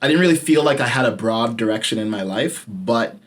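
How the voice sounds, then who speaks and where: A man in his late twenties, a podcast host telling a personal story in a candid, casual way, swearing freely and dropping his voice low when he stresses a point. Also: lively intonation, brisk. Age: late 20s.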